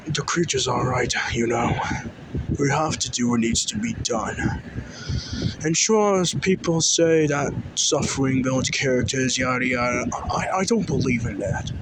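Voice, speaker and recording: Man acting as bitter resigned believer, slow halting delivery, noisy vehicle ambience. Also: medium pitch, close to an everyday voice. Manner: halting, deliberate. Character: bitter, resigned man siding with destructive creatures.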